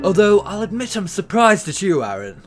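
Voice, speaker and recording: A man's voice: high-pitched, sing-song, moderate pace, music in the background. A man playing a haughty, dismissive army officer in a First World War audio drama. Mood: scornful. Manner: pompous, theatrical. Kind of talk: period audio drama acting.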